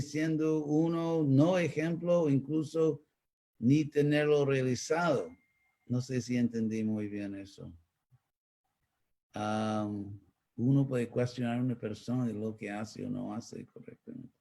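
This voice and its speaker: A man with an American accent, a native English speaker, teaching in a live online talk and answering questions, pausing to search for words. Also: on the high side, darkish tone. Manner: slow, searching for Spanish words with pauses. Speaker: spiritual teacher.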